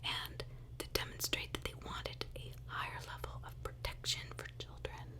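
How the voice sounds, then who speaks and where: A woman host narrating true crime in an ASMR whisper, emphatic, repeating phrases and dropping in personal asides. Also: moderate pace.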